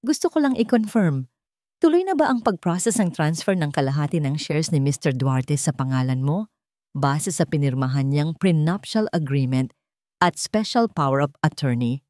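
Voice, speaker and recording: A woman's voice: deep voice, animated, normal speed, dark tone, dry recording, clean studio sound. A woman voicing a businesslike attorney with a formal, inquiring manner in a narrated story. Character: businesslike attorney on a phone call.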